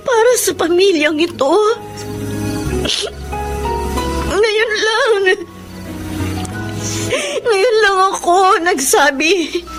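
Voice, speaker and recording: A woman's voice: very high voice, full character voice. A woman with a Filipino accent playing a dutiful, hurt breadwinner daughter in an emotional, earnest radio drama. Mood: hurt, pleading. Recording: music under the voice.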